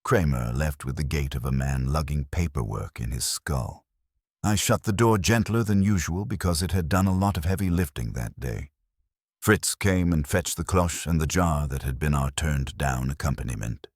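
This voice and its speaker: A man reading a first-person detective story as narrator, in a wry, measured storytelling manner. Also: a bass voice, animated, no room sound, clean studio sound.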